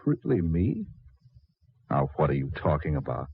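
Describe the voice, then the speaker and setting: An actor playing a dazed, grave husband returned from the dead, in halting, melodramatic 1940s radio style. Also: male voice, rumbling low, big pitch swings, gravelly, husky, dry recording, full character voice. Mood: puzzled. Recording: scratchy, tinny 1940s radio recording.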